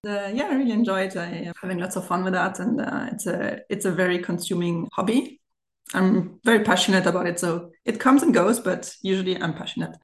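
A woman's voice: lowish voice, a little fast. Chatty German-accented woman in a roomy space. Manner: chatty, giggles often as she talks. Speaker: podcast guest. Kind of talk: casual chat. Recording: roomy, echoey remote recording.

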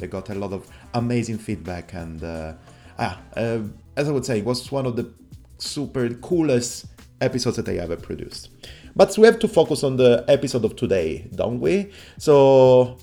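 A man's voice: lowish voice, mellow. A man hosting a casual podcast in non-native English, with an enthusiastic, rambling, informal way of talking. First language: not English. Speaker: podcast host. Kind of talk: casual podcast intro and interview.

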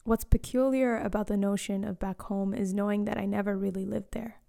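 A woman in her late twenties reading a reflective personal story in a slow, lyrical way, sometimes dropping to a hush. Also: medium pitch, fairly even intonation, gravelly. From born in Eswatini to Zambian parents, raised in South Africa, Australia and Canada. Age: late 20s. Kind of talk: scripted personal narration.

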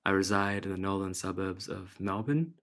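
A man's voice: sits low, normal speed, a bit bright. A young man in his twenties who grew up in New Zealand, speaking politely and easily. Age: 20s. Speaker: interviewee.

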